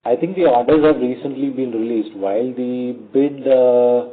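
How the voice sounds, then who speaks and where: A man with an Indian accent speaks as a company executive answering questions on an earnings call, in a halting, measured way with frequent pauses. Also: medium pitch. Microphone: conference call phone line. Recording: tinny narrowband phone line.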